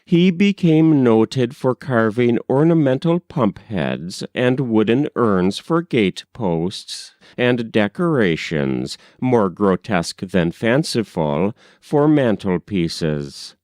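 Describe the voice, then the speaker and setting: A man reads a literary tale in a fairly low voice. His delivery is slow and expressive, with plenty of movement in the pitch, as a measured audiobook narrator would read. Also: no echo, studio quality. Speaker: audiobook reader.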